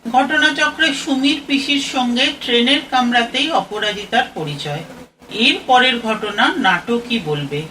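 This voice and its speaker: Bright, forceful woman presenter. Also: a little high. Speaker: narrator and presenter. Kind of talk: scripted narration introducing an audio play. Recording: faint noise, slightly distant mic.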